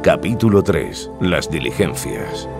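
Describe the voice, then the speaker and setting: A man's voice: very deep voice, big pitch swings, slow pace, creaky, music in the background. A man announcing a podcast title and chapter in a scripted style.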